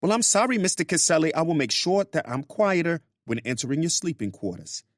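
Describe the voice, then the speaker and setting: A man voicing a young Puerto Rican woman from New York, a sharp-tongued house cleaner with a New York accent touched with Spanish, in a storybook reading. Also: fairly high pitch, very animated, brisk, dry recording, clean studio sound, pitched above the performer's own voice. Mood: sarcastic. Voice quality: attitude-laden, sharp-tongued.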